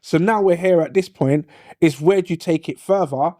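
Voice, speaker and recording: Animated man in a podcast debate. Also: fairly high pitch, moderate pace, no echo, studio quality. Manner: animated, acts out quoted voices, repeats "do you know what I mean". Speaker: podcast host. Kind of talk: casual panel discussion.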